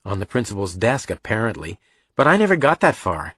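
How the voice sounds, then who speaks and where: A man's voice: lowish voice, sing-song, fairly quick, a bit bright, breathy edge, no room sound, clean studio sound, full character voice. A man voicing a downcast, defensive teenage boy in an audiobook, terse and evasive.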